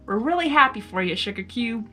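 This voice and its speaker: A woman's voice: a little high, expressive, a little fast, bright, forceful tone, soft background music. A woman voicing an easygoing, teasing cowgirl farm pony with plain, folksy talk in a storybook reading. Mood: affectionate.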